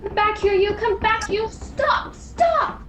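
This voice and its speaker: A woman playing an earnest, excitable, folksy male police officer in a radio play over a home video call. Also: extremely high pitch, slow, very noisy, full character voice. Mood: frantic.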